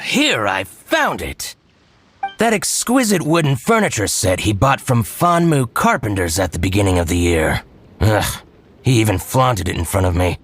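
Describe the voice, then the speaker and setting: An actor voicing a spiteful merchant in a video game, scornful and gloating. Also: male voice, lowish voice, fairly bright tone, slight background noise. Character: spiteful middle-aged male merchant, grudging. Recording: game audio with music.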